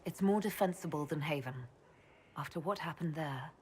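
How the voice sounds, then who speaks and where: A woman performs a resolute female commander for a fantasy game. Her voice sits a little low and is firm and authoritative, over faint background noise. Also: normal speed, natural voice. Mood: composed. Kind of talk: dramatic video game acting.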